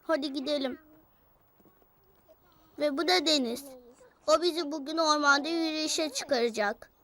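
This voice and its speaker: A woman's voice: high-pitched, normal speed, pushed and bright. A woman voicing an eager five-year-old boy who narrates in simple childlike phrases for a children's show dub.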